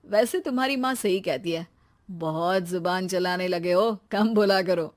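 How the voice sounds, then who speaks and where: A woman's voice: fairly low pitch, a little slow, voice pushed down, close to an everyday voice. A woman voicing an easygoing young father with a wry, teasing manner in a Hindi cartoon story. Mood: playful.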